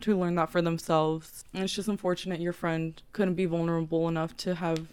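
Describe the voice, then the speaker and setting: Smooth-voiced young woman, casual podcast host. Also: on the low side, level tone, fairly quick, darkish tone. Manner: earnest, encouraging, swears casually. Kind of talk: casual chat.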